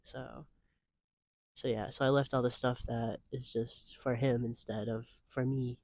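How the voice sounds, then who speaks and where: A man's voice: medium pitch, little pitch movement, a little slow, darkish tone, no echo. Earnest rambling man, mid-twenties. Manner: earnest, rambling, heavy use of "like" fillers. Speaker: guest. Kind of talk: casual conversational interview.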